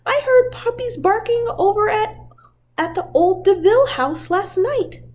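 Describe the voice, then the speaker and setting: A woman's voice: very high voice, animated, a little slow, darkish tone, voice pushed up. A woman voicing an alert, urgent male farm cat sergeant from a children's storybook, with a conspiratorial manner. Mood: concerned.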